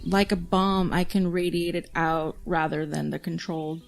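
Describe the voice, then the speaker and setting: A woman's voice: on the low side, little pitch movement, moderate pace, vocal fry. Girl playing a sarcastic scout lady in an audio drama, dry and grudging, music behind her. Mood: tense. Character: sarcastic young woman scout with sensory powers.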